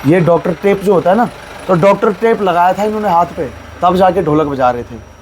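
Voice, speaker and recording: A man with a Garhwali accent, a folk singer being interviewed, talking earnestly with a hoarse voice. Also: high voice, brisk, slight background noise. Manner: plain, earnest storytelling. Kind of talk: casual interview and folk singing.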